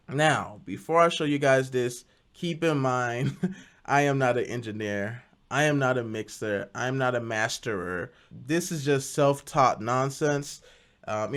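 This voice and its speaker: A young man talking casually through his home music production process, relaxed and self-deprecating, often restarting words. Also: mid-range voice. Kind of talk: casual tutorial talk.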